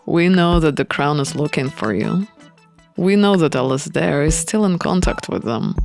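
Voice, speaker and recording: Woman voicing Felix, a low-pitched guarded young man. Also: animated, moderate pace, vocal fry, a little mellow, faint music behind. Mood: calm, matter-of-fact. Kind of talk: visual novel character read-aloud.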